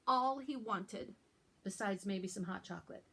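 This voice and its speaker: A woman hosting a children's storytime as a librarian, reading aloud and talking to young listeners in simple, deliberate phrases. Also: mid-range voice, a bit bright, husky.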